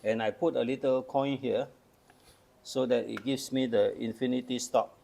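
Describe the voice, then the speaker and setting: A man's voice: mid-range voice, fairly even intonation, moderate pace, gravelly, mellow. An older man from Malaysia casually demonstrating old cameras, explaining each step patiently. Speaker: camera collector. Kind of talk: casual show-and-tell demonstration.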